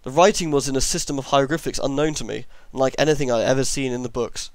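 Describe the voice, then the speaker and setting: A man's voice: medium pitch, steady tone, racing, dry recording. Man reading a horror story, dry close sound. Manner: reads with stumbles over long words, self-correcting. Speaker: amateur story reader.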